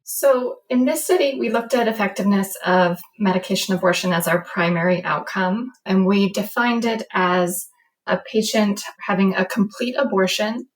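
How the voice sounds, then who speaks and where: A woman's voice: mid-range voice, low-quality recording. An American woman speaking as a guest researcher, explaining a study in an interview.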